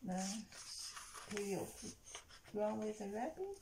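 Woman, slow and simple speech, prompting a child reader in casual chat; echoey, noisy room recording. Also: medium pitch, very animated, mellow. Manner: simple short replies and questions. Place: indoor room, over a picture book on a table. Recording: echoey room with clear background noise.

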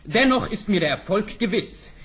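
High-voiced, swooping young storybook prince. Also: male voice, animated, moderate pace, fairly bright tone. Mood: measured, scheming. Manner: declamatory, theatrical. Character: ardent, bold young Persian prince, cunning and proud. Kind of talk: German audio fairy-tale drama acting.

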